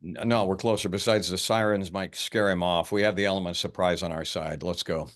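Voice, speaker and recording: A man reading the part of a blunt, fussy investigator in a film table read, halting and matter-of-fact. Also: sits low, fairly even intonation, lightly acted. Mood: urgent.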